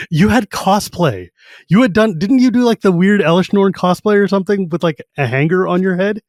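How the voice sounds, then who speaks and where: A man's voice: high voice, moderate pace, a little mellow, dry recording. A man in his thirties from California hosting a podcast, chatting casually in a rambling way with lots of filler words and easy laughter. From San Jose, California. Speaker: podcast host. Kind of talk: casual chat.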